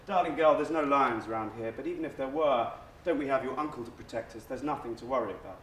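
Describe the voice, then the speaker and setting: A man's voice: medium pitch, quick pace, close to an everyday voice. An actor playing a doting grandmother in a stage monologue, tenderly reassuring a frightened grandchild. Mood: soothing, dismissive. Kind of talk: dramatic theatre monologue. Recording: echoey theatre recording from far off, noisy.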